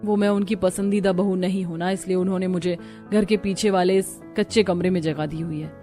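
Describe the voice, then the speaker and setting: A woman's voice: on the low side, fairly even intonation, a little fast, dark tone, faint music behind, close to an everyday voice. A woman voicing a meek, dutiful young daughter-in-law in a cartoon story. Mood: meek, polite.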